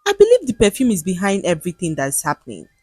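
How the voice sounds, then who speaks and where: A woman's voice: fairly low pitch, wildly swooping pitch, fast, a little mellow, breathy edge, no echo, soft background music, exaggerated voice. A woman voicing a distressed, embarrassed young woman in a folktale, anxious and pleading.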